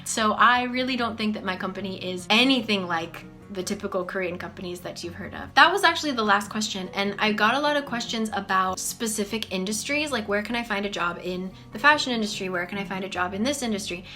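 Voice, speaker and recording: Bright chatty American woman in her twenties. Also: medium pitch, fairly quick, few pauses, soft background music. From United States. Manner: chatty, lots of "like" and "um", animated. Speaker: vlogger. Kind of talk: casual Q&A chat to camera. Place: small bedroom office, indoors.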